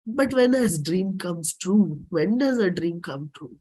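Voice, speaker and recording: A performer with an Indian accent and a husky voice, giving a dramatic, deliberate reading of a personal play script. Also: medium pitch, expressive, warm tone. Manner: measured, deliberate, theatrical phrasing.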